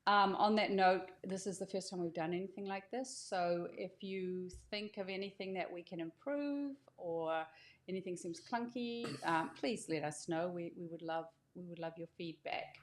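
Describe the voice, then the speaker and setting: A woman's voice: on the low side, moderate pace. An older woman speaking as an author and host, casually explaining things in an encouraging, inviting way. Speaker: author hosting a welcome video.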